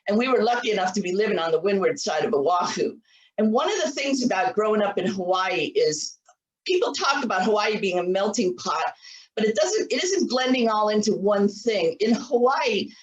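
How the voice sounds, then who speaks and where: A woman in her sixties giving an online biology lecture over slides, earnest and often restarting her sentences. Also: mid-range voice. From United States. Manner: earnest, many restarts and repeated words. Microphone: computer mic on a video call. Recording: echoey room, voice a little far from the mic.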